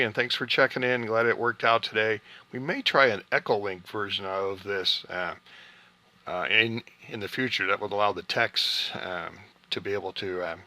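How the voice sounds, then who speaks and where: A man's voice: lowish voice, pushed and bright. A man hosting a casual amateur radio session, chatting with operators and signing them off with call signs. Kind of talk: casual radio net chat, signing contacts off.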